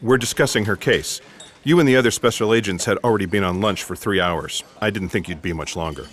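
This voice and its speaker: Low-voiced, deadpan male agent played by an actor. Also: fairly quick, slight background noise, natural voice. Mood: polite. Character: earnest, courteous, awkwardly frank male special agent. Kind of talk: comedic sci-fi audio theatre acting.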